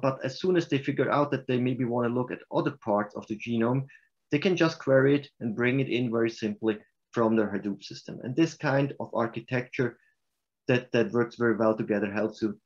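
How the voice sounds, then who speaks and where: A man with a Swiss German accent presenting software in a webinar and answering questions, explaining in a halting way with restarts. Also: mid-range voice, muffled recording.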